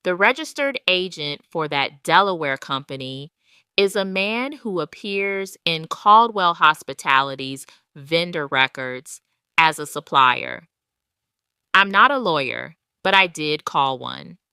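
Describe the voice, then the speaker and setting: A woman voicing a composed, steely accountant mother in an audio drama, calm and pointed. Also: low-pitched, measured pace, smooth, dry recording, exaggerated voice. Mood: coolly confrontational. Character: composed, steely retired accountant mother confronting her in-laws.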